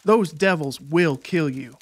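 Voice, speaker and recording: A woman's voice: low voice, slow pace, no echo, pitched below the performer's own voice. A woman plays a commanding teenage boy in an audiobook story. He is stern, firm and demanding, and the recording is dry and close. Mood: firm, serious. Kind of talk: audiobook character acting.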